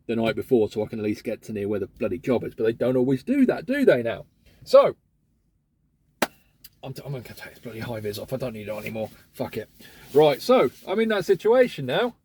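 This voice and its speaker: Mellow-voiced man grumbling casually. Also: medium pitch, breathy edge. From England. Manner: chatty, grumbling, swears casually. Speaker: van courier vlogger. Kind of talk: casual vlog monologue.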